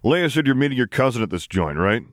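A man's voice: medium pitch, big pitch swings, quick pace, dry recording, clean studio sound, pitched above the performer's own voice, natural voice. A man voicing a brash, wry, streetwise starship pilot in an audiobook, with a slangy, offhand drawl. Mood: casual, teasing.

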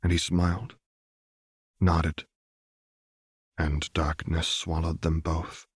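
A man reading fiction aloud as an audiobook narrator, in a measured, subdued way into a close mic. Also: a bass voice, flat delivery, breathy edge, no room sound.

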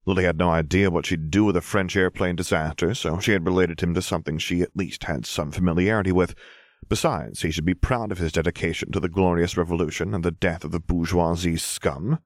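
Guy reading an audiobook, deep voice, measured storytelling, really dry sound. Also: steady tone, no echo. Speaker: audiobook narrator. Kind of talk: scripted story narration.

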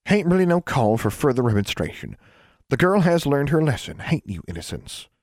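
A man voicing a stern, folksy great-grandmother with an Ozark accent, scolding in a high drawling voice. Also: big pitch swings, moderate pace, dry recording, studio quality. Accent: Ozark rural American. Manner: scolding, drawling, high put-on old-woman voice. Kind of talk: audiobook character voice.

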